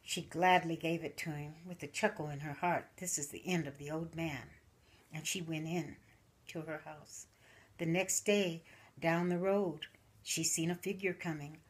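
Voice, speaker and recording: An older woman, a grandmother, telling a folksy story at a slow, animated pace in a living room, recorded by the camera. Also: low voice, slightly rough.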